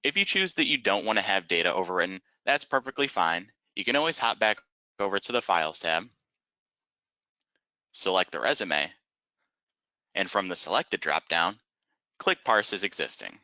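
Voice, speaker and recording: A man narrating an instructional webinar as a software trainer, explaining methodically step by step in a close, dry, slightly dull recording. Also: low-pitched, lively intonation, moderate pace, pauses often, dry recording.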